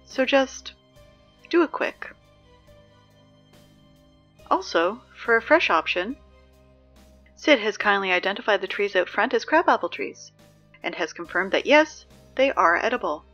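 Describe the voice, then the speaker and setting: A woman's voice: mid-range voice, expressive, a little slow, long pauses, a bit bright. Woman playing chatty school announcer girl in an audio drama, kinda exasperated and wry, slightly muffled sound w music under it. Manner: conversational, wry, hesitant asides.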